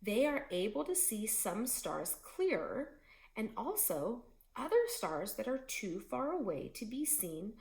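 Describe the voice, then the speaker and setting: Slow, lively woman reading a children's book. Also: fairly high pitch, gravelly, echoey room. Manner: slow, expressive storytelling. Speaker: teacher reading aloud. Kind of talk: children's book read-aloud.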